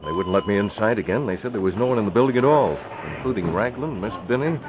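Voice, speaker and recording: Guy acting calm serious hero giving orders, deep voice, muffled old radio adventure. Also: quick pace, crowd noise, lightly acted. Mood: grave, decisive. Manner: crisp orders, urgent but controlled. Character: decisive, commanding crime-fighting hero, composed and serious.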